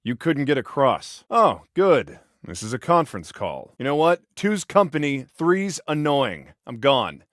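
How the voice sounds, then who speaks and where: An actor playing an exasperated, protective older-brother police officer in a video game, sarcastic and by-the-book, talking with conversational hesitations. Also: male voice, medium pitch, sing-song, a little slow, few pauses, a bit bright, no echo, clean studio sound. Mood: sarcastic, dry. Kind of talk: video game voice acting, one side of phone conversations.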